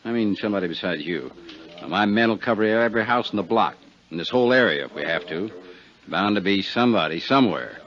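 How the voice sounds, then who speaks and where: A man's voice: fairly low pitch, animated, fast talker, a crowd in the background, close to an everyday voice. A man playing a blunt, sardonic, hard-pushing American police lieutenant in a 1950s radio detective drama. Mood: apologetic, calming. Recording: muffled vintage 1950s radio recording.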